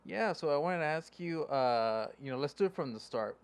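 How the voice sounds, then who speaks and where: A man's voice: on the high side, animated, moderate pace, slight background noise. A man from Mexico hosting a podcast, asking questions casually with many fillers and restarts. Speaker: podcast host. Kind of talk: casual interview.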